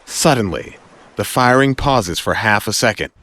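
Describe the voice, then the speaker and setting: A man's voice: fairly low pitch, animated, normal speed, fairly bright tone, soft background music. A man delivering dramatic scripted narration as the narrator of an audio story.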